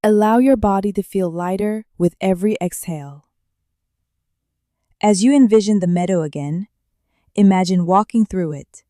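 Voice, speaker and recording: A woman leading a guided meditation in a calm, gentle way, with slow soothing instructions and long pauses. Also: mid-range voice, no echo, studio quality.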